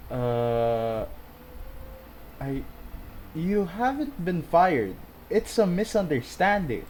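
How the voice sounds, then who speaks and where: A woman's voice: low-pitched, big pitch swings, very slow, a little mellow, a little hiss or hum. A woman streaming a video game, commenting casually with dry, laid-back interjections.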